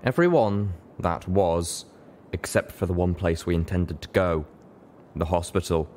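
A man with a low-pitched voice reads a scripted story aloud. His delivery is measured and dramatic, in the manner of an audiobook narrator. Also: darkish tone, a little hiss or hum. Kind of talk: scripted fan fiction narration.

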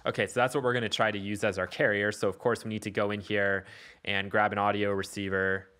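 A man's voice: lowish voice, little pitch movement, fast talker, bright, forceful tone. A man hosting a casual music production tutorial, thinking aloud informally as he demonstrates. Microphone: voice-over on a screen recording. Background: electronic music and loops.

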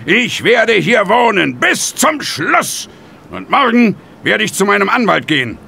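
An actor playing a stubborn old homeowner in a German radio play. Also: male voice, high-pitched, very animated, unhurried, creaky, sharp tone, husky, exaggerated voice. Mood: angry, defiant. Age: older. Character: stubborn, angry old homeowner who dotes on his fish.

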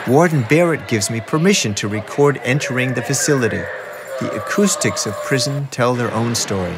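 A man's voice: mid-range voice, fairly quick, very noisy. A man reading scripted narration as the narrator of a radio documentary.

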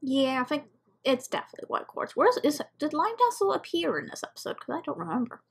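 A woman giving casual reaction commentary on an animation, murmuring short asides and reading names haltingly. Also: high-pitched, wildly swooping pitch, gravelly. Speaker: reaction video host. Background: music from the video being watched.

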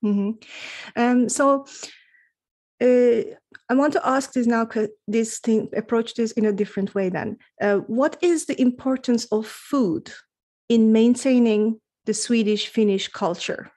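A woman's voice: on the high side, measured pace, warm tone. A woman hosting a podcast interview, asking her guest questions in a friendly, hesitant way, with many fillers. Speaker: podcast host.

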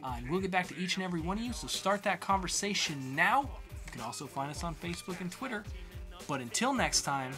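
A man's voice: high-pitched, expressive, fairly quick, pushed and bright, faint music behind. A man in his thirties presenting a show as host and narrator, upbeat, from a small indoor set.

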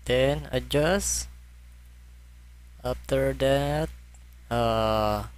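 Very slow, halting man presenting a tutorial. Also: medium pitch. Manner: halting, hesitant delivery. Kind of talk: unscripted software tutorial.

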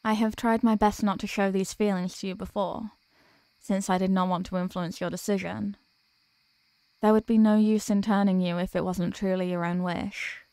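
A tender, ancient vampire girlfriend voiced by a woman in an ASMR roleplay. Her voice is mellow, soft and mid-pitched, with formal, caressing phrasing. She is soothing and protective, recorded close and dry. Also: flat delivery, normal speed, no room sound, natural voice. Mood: grateful, loving. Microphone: close microphone.

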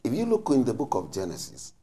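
A man's voice: mid-range voice, wildly swooping pitch, fast talker, dark tone. A man, a bishop on a panel, explaining emphatically in short, preacherly phrases. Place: TV studio. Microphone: lapel mic.

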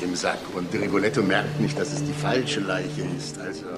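A man's voice: sits low, fairly even intonation, normal speed, loud music behind the voice, natural voice. An actor playing an eager, chatty male police investigator in a naturalistic crime audio drama. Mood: chatty, probing. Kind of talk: naturalistic German radio drama acting. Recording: clear background noise and ambience.

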